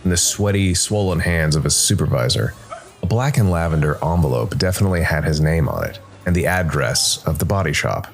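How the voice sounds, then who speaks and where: A man narrates a scripted story as a podcast host. His voice sits very low, and his pitch moves with some liveliness. Faint music plays behind him. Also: lively intonation, brisk.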